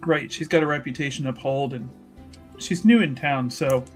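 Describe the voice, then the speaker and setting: A man's voice: medium pitch, normal speed, soft background music. A man casually narrating his character's moves in a tabletop roleplaying game, with wry banter.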